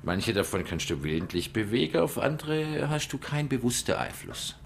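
A man's voice: mid-range voice, very animated, normal speed, vocal fry, a little hiss or hum. An actor playing a dry, matter-of-fact male private investigator with a laconic manner, in naturalistic radio drama.

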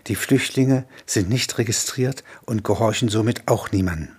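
A man reads scripted radio play narration. His voice is of middling pitch and strikingly husky, with a rough, breathy grain.

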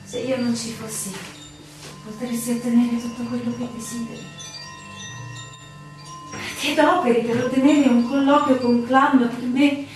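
An actress in a radio drama playing an anguished, devoted lover, impassioned, plaintive and fearful. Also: female voice, fairly high pitch, little pitch movement, unhurried, pauses often, natural voice. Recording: echoey, distant, music behind.

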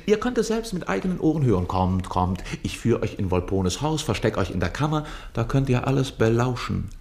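An actor in a German radio play playing a cunning, brazen servant, roguish and mocking, with a sly theatrical delivery. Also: male voice, mid-range voice, very animated, brisk, creaky, a little mellow. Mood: sly, persuasive.

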